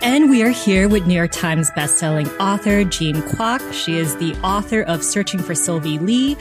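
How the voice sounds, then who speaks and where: A woman's voice: lowish voice, expressive, moderate pace, music in the background. A woman hosting a podcast, talking in a casual, conversational interview style. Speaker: podcast host.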